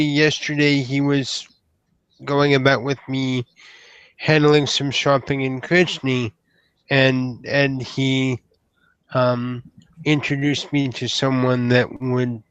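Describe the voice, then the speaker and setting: A man's voice: medium pitch, fairly bright tone, clear, resonant tone, dry recording, muffled recording. A man playing an earnest, bashful young would-be knight in a tabletop game, speaking formally with hesitant stammers.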